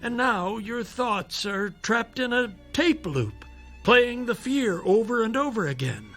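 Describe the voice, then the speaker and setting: A fatherly doctor in a radio drama, full of measured reassurance and skeptical condescension. His voice sits high for a man, with faint music behind it. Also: lively intonation, sharp tone, full character voice. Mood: firm persuasion. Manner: measured, patronizing, rhetorical persuasion. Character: male doctor, persuasive, skeptical, fatherly toward his patient.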